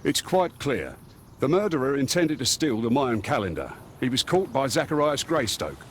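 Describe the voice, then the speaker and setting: An actor playing a confident, skeptical Victorian police inspector in a video game, British, explaining things in an assured way. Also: male voice, mid-range voice, sing-song, moderate pace, a little hiss or hum, close to an everyday voice. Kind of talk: scripted video game acting.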